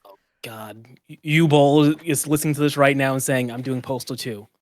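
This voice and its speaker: A man with a mid-range voice who answers with dry, deadpan sarcasm and pokes fun at himself during a casual podcast chat. The recording is close and dry, with no room sound. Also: mid-range voice, normal speed, dry recording. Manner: dry, sarcastic, self-deprecating jokes. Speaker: podcast co-host.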